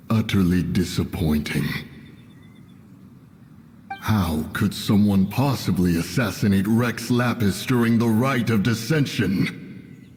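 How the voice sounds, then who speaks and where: An actor playing an ancient, stern and haughty divine mountain beast in a fantasy game, speaking in solemn archaic phrases. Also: male voice, lowish voice, a little mellow, slight background noise. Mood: indignant. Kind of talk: fantasy video game acting. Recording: long cavernous reverb, music behind.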